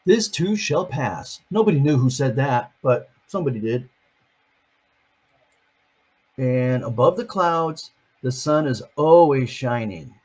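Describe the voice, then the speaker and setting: Older man, grandfather, reading a picture book aloud, husky, slow, playful asides. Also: medium pitch, lively intonation. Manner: chatty asides between pages, gentle and playful.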